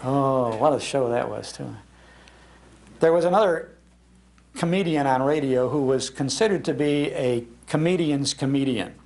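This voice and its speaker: A man's voice: medium pitch, unhurried, creaky, a little mellow. An older man giving an informal, conversational talk to an audience, explaining as he goes. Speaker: lecturer on old radio, host. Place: public library talk.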